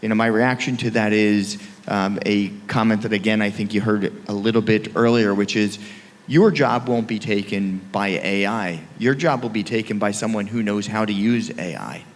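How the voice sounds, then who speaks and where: A man's voice: lowish voice, fairly even intonation. A man on a conference panel, speaking emphatically and punching key phrases. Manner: emphatic, punchy, speeds up and slows down for stress. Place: conference hall. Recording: reverberant hall.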